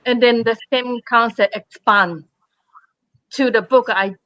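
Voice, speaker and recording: A woman from Taiwan, a painter speaking on a panel in halting English with many fillers and restarts. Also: fairly high pitch, no echo, dull audio. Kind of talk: panel discussion.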